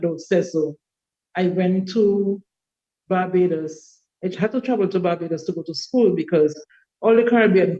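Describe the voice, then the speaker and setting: A woman, a podcast guest telling her life story in a reflective, unhurried way. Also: fairly low pitch, dark tone, lo-fi sound. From Dominica. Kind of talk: personal life story in an interview.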